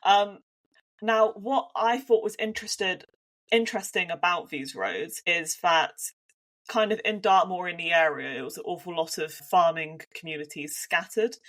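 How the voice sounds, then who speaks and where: A woman's voice: on the low side, a bit bright. Thoughtful woman with a Devon accent. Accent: Devon English. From Devon, England. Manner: thoughtful, hedging with many restarts. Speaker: podcast co-host. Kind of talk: casual conversational podcast.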